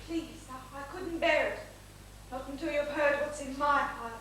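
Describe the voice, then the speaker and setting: A woman's voice: sits high, normal speed, fairly bright tone. A woman playing an anguished married lady torn by secret passion in a stage period comedy, with melodramatic pleading delivery. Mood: agitated confession. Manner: melodramatic, breathless pleading, theatrical. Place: theatre stage, recorded from the audience. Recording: echoey theatre stage, distant mic, faint hiss.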